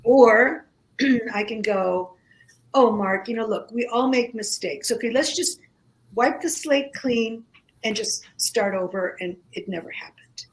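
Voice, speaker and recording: Slow-speaking woman, Greek native. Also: on the high side, low-quality recording. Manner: slow, reflective, many pauses and fillers. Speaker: author and guest. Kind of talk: radio interview conversation. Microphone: studio microphone.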